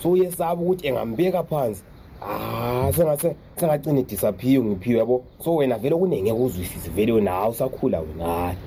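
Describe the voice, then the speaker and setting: A proud father in a radio drama, played by a man, protective of his son. He speaks very fast and colloquially, repeating himself, in a very mellow tone over faint noise. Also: medium pitch, expressive, close to an everyday voice. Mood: dismissive, fatherly. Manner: repetitive, exclamatory, colloquial.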